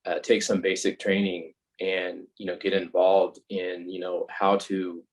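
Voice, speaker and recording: A man from California, a fire captain giving an informal, halting, conversational explanation as guest speaker on a video call. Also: sits low, flat delivery, poor audio. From California, United States.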